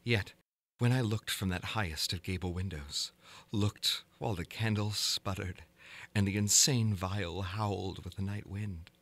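A man giving a theatrical, deliberate dramatic reading of gothic horror fiction, moving between hushed and intense delivery. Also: sits low. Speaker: narrator reading a horror story.

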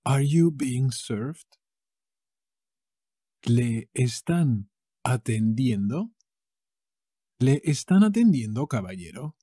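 A man from Spain with a Castilian Spanish accent, teaching a language lesson, saying each phrase slowly and repeating it with variants. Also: medium pitch, very animated, dark tone, slightly rough, dry recording, studio quality.

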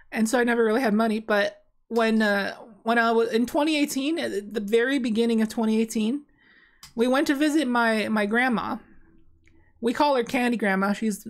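A young woman talking casually while playing a card game on stream, rambling with many fillers and restarts, in a small dim room. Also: a little high. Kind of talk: casual gameplay commentary.

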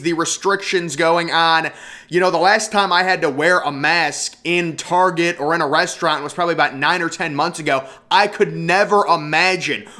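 A young man commenting on news from a home webcam setup, talking in an indignant, rapid rant with frequent restarts. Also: high voice, bright, forceful tone. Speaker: political commentary vlogger. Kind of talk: opinionated screen-share commentary.

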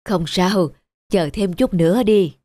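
An actor voicing a kindly, wise old master in a cartoon, speaking in a measured, patient, instructive way. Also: male voice, high voice, warm tone, no echo. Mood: calm, gentle.

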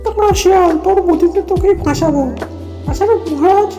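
A woman voicing a clever, excitable monkey parent in a cartoon, pleading and crafty. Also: extremely high pitch, quick pace, music in the background. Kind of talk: cartoon character dialogue.

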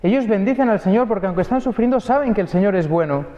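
A young priest from Spain, speaking with a Spain Spanish accent, gives a conversational homily at Mass, full of rhetorical questions and little tag words. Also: male voice, high-pitched, animated, fast, mellow. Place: church. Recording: dull, lo-fi audio with long church reverb.

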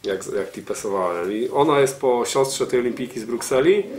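A man's voice: mid-range voice, animated, moderate pace, background noise. A man in his thirties talking casually and choppily as a pigeon breeder, often tagging his phrases with a question. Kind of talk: casual talk presenting birds. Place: indoor room at a table. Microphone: camera mic a few steps away. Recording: roomy echo, birds cooing.

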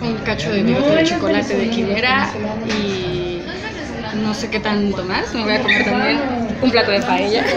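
A woman's voice: mid-range voice, measured pace. A woman in her thirties with a Mexican accent, chatting enthusiastically to a handheld phone as she vlogs through a market and the city at night. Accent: Mexican Spanish. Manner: chatty, enthusiastic, laughs often. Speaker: vlogger. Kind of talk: casual handheld vlog. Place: busy indoor market, then city street at night. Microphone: phone held at arm's length. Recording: phone audio with loud crowd and music. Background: loud crowd and music.

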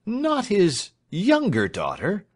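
A man's voice: sits high, huge pitch swings, slow pace, pitched above the performer's own voice, heavily acted. A man voicing a shrewd, whimsical older lawyer with a dry, emphatic manner in a storybook reading. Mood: probing. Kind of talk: dramatised reading of classic fiction.

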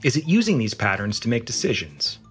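A woman plays a quiz contestant reading out short questions. Her voice is extremely low, and faint music sits behind it. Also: very animated, brisk.

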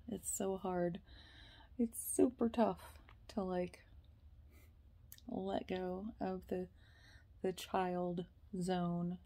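A woman in her thirties chatting casually to her phone camera from bed, sometimes dropping to a whisper. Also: on the low side. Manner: chatty, slips into soft whispers. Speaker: vlogger. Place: bedroom, lying on a bed indoors. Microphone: phone camera at arm's length.

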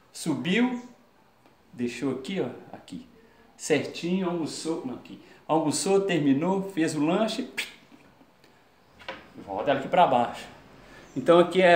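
A man's voice: mid-range voice, a little mellow. A man in his fifties with a Brazilian Portuguese accent, chatting casually to viewers while doing a home repair job, filmed close on a phone in a small kitchen. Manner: chatty, talks to viewers mid-task. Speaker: home DIY vlogger. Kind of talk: casual how-to narration while working. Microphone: handheld phone camera, close. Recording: echoey tiled room, faint noise.

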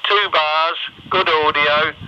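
Male radio operator, very slow delivery, higher-pitched voice, dull band-limited CB sound with static. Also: fairly even intonation, sharp tone. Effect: CB radio transmission. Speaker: radio contact giving signal reports. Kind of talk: unhurried two-way radio talk.

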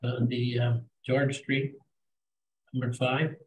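A man's voice: medium pitch, flat delivery, slow pace, a little hiss or hum. Blunt canadian councillor guy, kinda far from the mic, echoey. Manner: blunt, folksy. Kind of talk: council debate.

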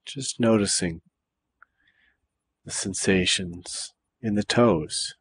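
A man leading a guided meditation in a calm, measured way, with deliberate phrases and pauses between them. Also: fairly low pitch, breathy edge. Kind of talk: guided body scan meditation.

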